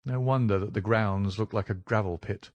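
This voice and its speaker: A man voicing a crisp, firm, self-assured detective in an audiobook, with an explanatory manner. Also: fairly low pitch, moderate pace, no room sound, muffled recording, natural voice. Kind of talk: audiobook character voice.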